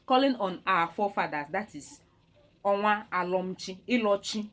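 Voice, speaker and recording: A woman with a Nigerian Igbo accent, a native Igbo speaker, teaching a language lesson deliberately and repeating terms for learners. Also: medium pitch, a bit bright. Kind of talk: instructional lesson with repeated vocabulary.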